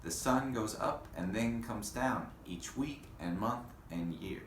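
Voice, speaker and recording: Slow-talking male teacher in an echoey room. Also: on the low side, animated. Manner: simple, deliberate phrasing with questions to the children. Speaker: elementary school teacher. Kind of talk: read-aloud lesson for young children. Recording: echoey room with faint background noise.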